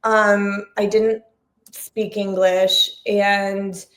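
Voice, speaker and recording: A woman's voice: medium pitch, flat delivery, extremely slow. A woman with an American accent speaking reflectively and casually as an interview guest, often restarting and rephrasing her thoughts. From Sri Lanka, United States (Massachusetts) and France. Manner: reflective, self-correcting, stop-and-start. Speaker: interview guest, producer and journalist. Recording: roomy echo.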